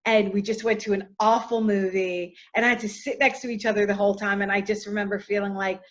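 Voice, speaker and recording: A middle-aged actress answering interview questions in a casual, chatty way, full of fillers and often trailing off softly. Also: female voice, medium pitch, fairly even intonation, quick pace, muffled recording.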